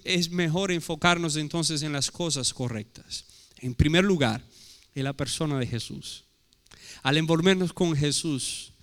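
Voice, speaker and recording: A man's voice: on the high side, a bit bright. A man with a Portuguese-tinged accent preaching a sermon as pastor, emphatic and full of rhetorical questions. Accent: Portuguese-tinged Spanish. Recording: echoey hall reverb.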